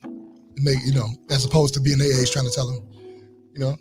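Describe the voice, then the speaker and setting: A man's voice: medium pitch, level tone, a little fast, music in the background. A man in his forties on a casual panel chat, easygoing and joking. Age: 40s. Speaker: co-host.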